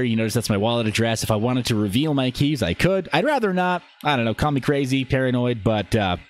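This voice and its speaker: A man hosting a casual livestream, answering viewers and talking through what he shows, with rambling, self-interrupting asides. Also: mid-range voice. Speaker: live streamer and channel host. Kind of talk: casual livestream chat, answering viewer questions while demonstrating a website.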